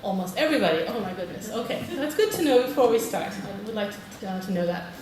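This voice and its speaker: A woman's voice: medium pitch, expressive, fast, darkish tone. British woman presenting in an echoey hall. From Britain. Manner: friendly, polls the audience, frequent "okay". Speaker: conference presenter, teacher trainer. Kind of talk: conference presentation. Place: large conference hall. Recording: echoey hall, distant mic, noisy.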